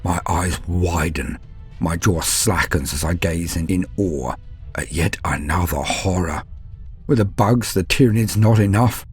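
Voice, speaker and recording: Male narrator as despairing, awestruck soldier in audio war drama. Low, theatrical, deliberate delivery over music. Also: husky, heavily acted. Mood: awed horror. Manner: grave, theatrical, deliberate phrasing. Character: doomed human soldier, fearful, awestruck, despairing. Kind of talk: dramatic first-person scripted narration.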